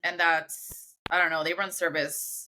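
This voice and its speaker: American female podcast guest, very bright lowish voice, casual conversational delivery. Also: flat delivery, unhurried, a little hiss or hum, low-quality recording. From Los Angeles, United States.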